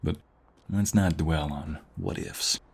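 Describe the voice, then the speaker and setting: A low, gravelly voice of a man acting as an affectionate young husband in an ASMR roleplay. He takes his time over every phrase, intimate and gently teasing, with a husky edge to his hushed delivery. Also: slow, exaggerated voice. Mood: sincere, reflective. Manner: tender, teasing, hushed, lingering delivery with sighs and soft chuckles.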